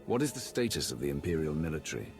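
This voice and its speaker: An actor playing an imposing, stern Sith commander in a video game, speaking formally and tersely with a gravelly, menacing edge. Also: male voice, very animated, fast talker, exaggerated voice. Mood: cool, curt. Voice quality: very deep, gravelly. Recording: very loud game music behind. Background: very loud music.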